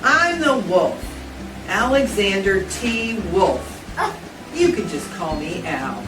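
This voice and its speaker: A woman playing a polite male storybook wolf in a stage reading, with slow, drawn-out calls. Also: medium pitch, big pitch swings. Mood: self-introducing. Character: male wolf claiming innocence, politely calling on neighbors. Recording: echoey room, far mic, music behind.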